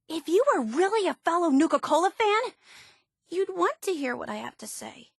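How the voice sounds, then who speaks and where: High-voiced, bubbly superfan. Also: female voice, no room sound, studio quality, full character voice. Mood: offended. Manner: gushing, earnest, wide-eyed enthusiasm. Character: bubbly, obsessive young woman superfan of a soda theme park. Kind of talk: video game character voice acting.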